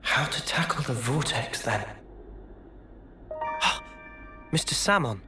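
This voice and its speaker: Serious, resolute young hero. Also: male voice, medium pitch, expressive, slow pace, over music. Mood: pensive. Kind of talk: video game voice acting.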